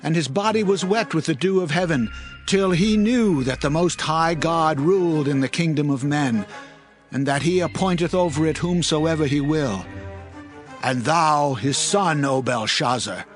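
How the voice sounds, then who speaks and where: A man's voice: fairly high pitch, unhurried, soft background music, close to an everyday voice. A man playing a grave, fearless prophet in a dramatized audio Bible, with solemn, declamatory phrasing. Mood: stern, solemn.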